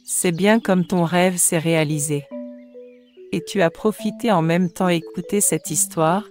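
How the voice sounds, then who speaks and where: A woman's voice: lowish voice, measured pace, a little mellow, faint music behind, natural voice. A woman voicing a caring, instructive mother in a children's cartoon. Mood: gently instructive.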